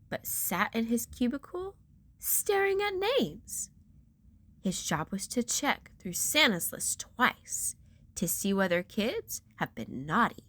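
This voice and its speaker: A woman's voice: on the high side, no room sound. A woman reading a rhyming children's picture book aloud with theatrical storyteller emphasis.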